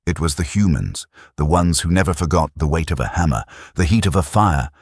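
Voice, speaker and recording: A man reading a science fiction audiobook with grave drama. His voice is extremely deep and husky, with plenty of movement in pitch. The sound is close and dry. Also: animated, a little fast, dry recording. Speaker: narrator. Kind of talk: scripted audiobook narration.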